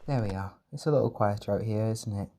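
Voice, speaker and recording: A man playing a gentle, protective caretaker in a roleplay comfort audio, speaking in a soft, reassuring, tender way. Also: fairly low pitch, fast, slight background noise, lightly acted. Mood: tender, soothing. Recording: music and party chatter behind.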